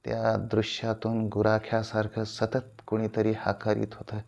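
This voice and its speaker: A man acting a proud, aging veteran stage actor in a theatrical monologue, grandiloquent and deliberate, with dramatic pauses and repeated phrases. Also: on the low side, completely flat delivery, vocal fry, darkish tone, dull audio. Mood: subdued, reflective. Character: proud, aging veteran Marathi stage tragedian addressing his audience.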